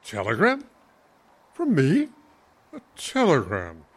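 A man playing a brisk, formal school board official who gets flustered, in an old-time radio comedy. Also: fairly high pitch, wildly swooping pitch, breathy edge, exaggerated voice.

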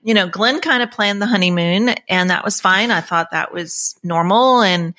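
A woman in her sixties telling personal stories conversationally as a podcast guest, halting and reflective with trailing pauses. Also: medium pitch, sharp tone. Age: 60s.

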